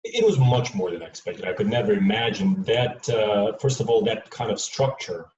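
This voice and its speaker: A man from Serbia speaks as a panelist, in a measured, hesitant way with frequent fillers. His voice sits a little low. He is picked up from afar in a reverberant room, and the sound is muffled. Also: lively intonation, a little mellow, slight background noise. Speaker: panelist, airline employee. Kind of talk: panel discussion answers. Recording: dull, roomy sound from a distant mic.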